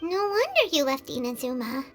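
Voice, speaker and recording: Casting: very high, squeaky childlike fairy sidekick for a video game, bubbly, eager, wildly animated. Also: female voice, moderate pace, soft background music. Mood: eager, encouraging. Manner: bubbly, exclamatory, talks of self in third person. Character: tiny childlike female fairy companion, chirpy and eager. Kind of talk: scripted game voice acting.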